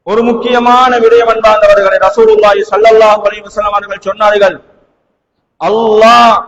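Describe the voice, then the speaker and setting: High-pitched Sri Lankan Tamil preacher man, echoing hall. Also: fairly bright tone. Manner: emphatic, deliberate oratory in short phrases with repetition. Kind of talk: Islamic sermon. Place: large echoing indoor mosque hall. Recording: echoey hall, distant from microphone.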